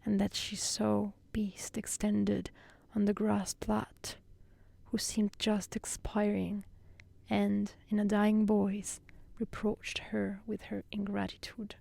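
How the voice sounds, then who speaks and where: A woman reading a fairy tale as soft-spoken ASMR narration, gentle and hushed, often close to a whisper. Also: medium pitch, completely flat delivery, slow, gravelly, no room sound. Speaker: storyteller.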